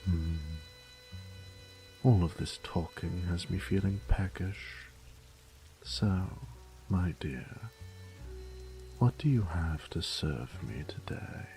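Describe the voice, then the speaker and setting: Vampire lord, horror audio drama: cruel, gloating, very slow and gravelly, theatrical, drifting into whispers. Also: male voice, low voice, dark tone, soft background music. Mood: predatory, savoring. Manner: slow, theatrical, menacing, lapsing into breathy whispers. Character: cruel, gloating male vampire lord savoring his human prey. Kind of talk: scripted horror audio roleplay.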